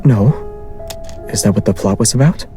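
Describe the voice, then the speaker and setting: Casting: male actor for soft-spoken young man in a romance game, lowish pitch, unhurried, gently teasing, music underneath. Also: close to an everyday voice. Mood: calm, thoughtful. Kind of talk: intimate game voice acting.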